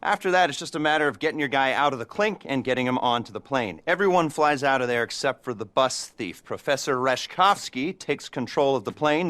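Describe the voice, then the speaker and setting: A man's voice: mid-range voice, a bit bright, close to an everyday voice. An actor playing a middle-aged criminal mastermind who briefs his crew with awkward, self-mocking humor and nervous laughter, in a video game cutscene. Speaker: heist planner briefing a crew.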